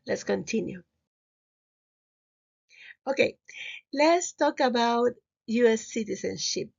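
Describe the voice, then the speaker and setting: High-voiced Peruvian woman teaching, muffled audio. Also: expressive, slow, gravelly. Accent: Peruvian Spanish. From Lima, Peru. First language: Spanish. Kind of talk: recorded slide lesson for students. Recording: slightly muffled, dull audio.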